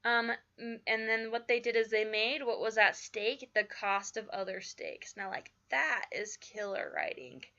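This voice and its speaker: Bright, chatty woman, slightly croaky. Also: a little high, fairly even intonation, unhurried, dull audio. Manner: chatty, many fillers like "like" and "you know". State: slightly croaky from just waking up. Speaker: writing teacher. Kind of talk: casual spoken writing lesson.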